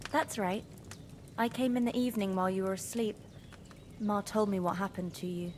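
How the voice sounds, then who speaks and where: A woman's voice: mid-range voice, normal speed, a little mellow, slight background noise, natural voice. An actress playing a kind, practical young village woman in a video game, with folksy, down-to-earth speech. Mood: caring. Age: young adult. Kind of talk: video game cutscene dialogue.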